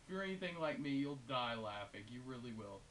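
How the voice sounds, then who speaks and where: A man's voice: medium pitch, expressive, a little fast, a bit bright. A man giving a casual, unscripted video game review in a rambling, hesitant but amused and enthusiastic way. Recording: hissy, noisy home recording.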